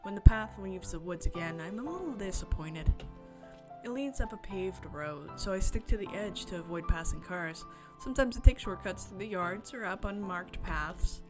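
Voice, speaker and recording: A woman game streamer expressively reading a visual novel aloud, breaking into excited, chatty asides. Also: medium pitch, normal speed. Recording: music behind the voice. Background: game music.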